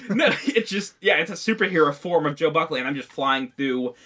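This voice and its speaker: Guy cohosting a comedy podcast, bright voice a bit high, silly crude riffs. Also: lively intonation, normal speed. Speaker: podcast co-host. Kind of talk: casual comedic chat.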